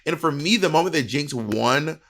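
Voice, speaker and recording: A man's voice: on the high side, expressive, normal speed, creaky, fairly bright tone. A man in his thirties with an American accent, co-hosting a casual chat and telling stories in an emphatic, sweary way. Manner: emphatic, profane. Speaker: podcast co-host. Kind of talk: casual storytelling and review chat. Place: indoor room at home.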